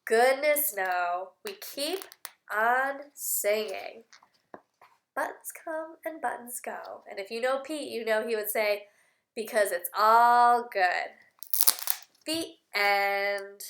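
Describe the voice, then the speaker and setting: A woman with a high, bright voice reads aloud to young children as their teacher. She takes her time, stressing and repeating key words in simple phrases. Her delivery is animated, with questions and sing-song bits. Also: takes it slowly, a little hiss or hum. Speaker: speech-language teacher reading aloud.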